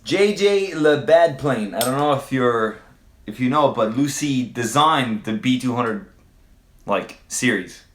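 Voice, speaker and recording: Quebec guy on a livestream, chill q&a, lots of uhs and cussing, mid pitch, echoey room. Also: expressive, measured pace. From Quebec, Canada. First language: French. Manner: loose and hesitant, many fillers and swearing. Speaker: livestream host. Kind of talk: casual livestream Q&A answering chat. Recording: roomy echo.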